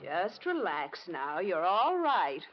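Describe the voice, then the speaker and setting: An actress with an American accent playing a gentle, reassuring hospital nurse in an old radio drama. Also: female voice, fairly low pitch, very animated, takes it slowly, soft background music, full character voice. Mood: soothing. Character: soothing, capable hospital nurse. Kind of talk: 1940s-50s radio acting. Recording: muffled old radio recording.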